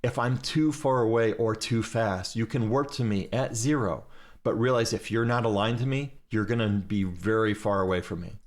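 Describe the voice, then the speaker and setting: A man's voice: lowish voice, moderate pace. A man teaching a class during a live game, giving step-by-step directions to his fleet over voice chat.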